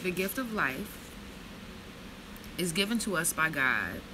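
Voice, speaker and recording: A woman in her thirties reads slowly and reflectively from a book, then slips into blunt, profane, casual storytelling. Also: on the low side, big pitch swings, background noise. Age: 30s. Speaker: vlogger reading from a book.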